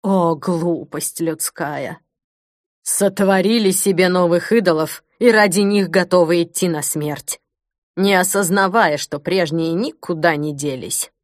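A woman playing a wise, grave old foster mother in an audiobook, speaking in a measured, solemn way. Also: fairly low pitch, no echo, clean studio sound, close to an everyday voice. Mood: scornful. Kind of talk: audiobook character acting.

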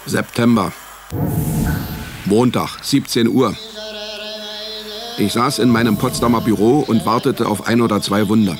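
An actor playing a wry, broke private detective in a radio play, with a deadpan, sardonic manner. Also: male voice, on the low side, a little slow, long pauses, a little hiss or hum. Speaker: narrator and lead actor. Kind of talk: first-person detective radio play narration and dialogue. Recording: music behind the voice. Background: music.

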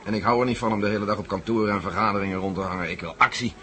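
An actor playing a jovial, restless army general in a radio drama, courteous and wry. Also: male voice, on the low side, steady tone, rapid-fire, pushed and bright. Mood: wry, chatty.

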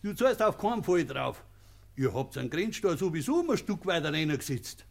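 A stubborn Bavarian village farmer with a somewhat high voice. He needles and defies in thick dialect, as heard in a radio play. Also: very animated, moderate pace, gravelly. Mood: dismissive, needling. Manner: taunting, defiant.

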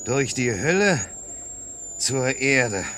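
A man's voice: medium pitch, very animated, sharp tone, full character voice. An actor playing a terse, fatalistic airman in an old radio drama, speaking in short, stretched phrases. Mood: resigned. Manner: clipped phrases, drawn-out words. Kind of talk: 1950s German radio play acting. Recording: noisy vintage radio, engine drone.

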